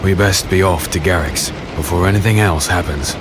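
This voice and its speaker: A man playing a gruff but caring battle-worn warrior, narrating and speaking in a dark fantasy audio drama. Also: deep voice, moderate pace, noisy background, lightly acted. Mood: wry, tender. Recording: loud thunderstorm and rain effects behind.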